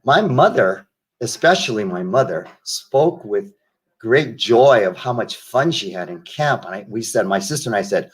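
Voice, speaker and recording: An older man from the United States speaks in a lowish voice as an interview guest. He tells his stories slowly and reflectively, doubling back and restarting his sentences. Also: expressive. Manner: reflective storyteller, many restarts and asides. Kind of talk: casual interview conversation.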